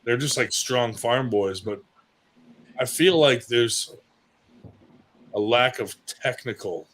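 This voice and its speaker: A middle-aged man talking casually on a livestream, loose and rambling, with a few swear words dropped in. His voice sits at an ordinary middle pitch. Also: slow, a bit bright. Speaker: arm wrestler on a livestream show. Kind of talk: casual chat.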